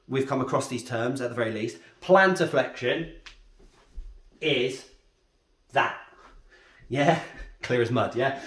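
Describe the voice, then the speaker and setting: A man with a head cold, teaching anatomy at a board and often checking in with a questioning "yeah?". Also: medium pitch. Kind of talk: whiteboard anatomy lesson. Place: room with a drawing board. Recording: echoey room.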